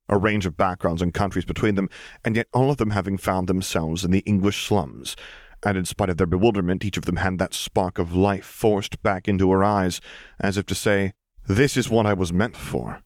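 A man's voice: deep voice, steady tone, a little fast, dry recording, clean studio sound. A man reading a scripted story aloud as an audiobook narrator.

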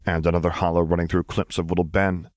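A man's voice: sits low, level tone, fast, darkish tone, no room sound, studio quality. A man reading a scripted story as an audiobook narrator.

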